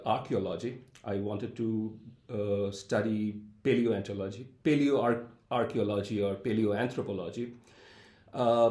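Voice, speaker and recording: A man, a university professor telling his story in an interview in a thoughtful, measured way with frequent hesitant fillers. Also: lowish voice, darkish tone. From India (Calcutta). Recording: roomy echo.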